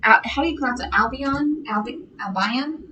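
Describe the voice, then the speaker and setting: A woman's voice: a little high, moderate pace, recorded from a distance, muffled recording, crowd noise. A woman co-hosting a live sewing shop stream, chatting casually and greeting viewers by name.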